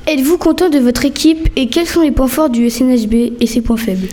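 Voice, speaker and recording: A woman interviews a guest on radio with prepared questions. Her voice sits fairly high, yet its tone is dark and very mellow. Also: fairly quick, slight background noise.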